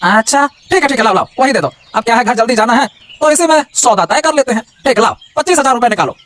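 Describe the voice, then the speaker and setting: A man's voice: very high-pitched, lively intonation, quick pace, fairly bright tone, a little hiss or hum. A man voicing an impatient, wily cattle seller in a cartoon, haggling and mocking in bursts of repeated words. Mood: eager haggling. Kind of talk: exaggerated Hindi cartoon voice acting.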